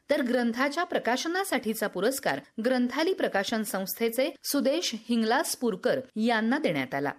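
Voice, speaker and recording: A woman newsreader delivers scripted radio news. Her voice sits fairly high and moves with very lively intonation from phrase to phrase. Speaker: news presenter.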